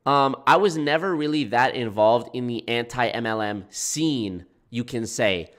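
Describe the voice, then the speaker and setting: Congested guy on a livestream, kinda nasal, rambles and corrects himself a lot, normal middle pitch. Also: fairly bright tone. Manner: rambling, self-correcting, frequent restarts. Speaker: anti-MLM YouTube creator. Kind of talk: casual livestream talk to chat.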